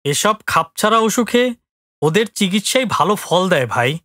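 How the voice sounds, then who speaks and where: A man's voice: high-pitched, sing-song, normal speed, pushed and bright, no room sound, clean studio sound, heavily acted. An actor playing a stubborn village man who believes in folk healers, in an audio drama. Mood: stubborn, curt. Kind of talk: Bengali audio drama acting.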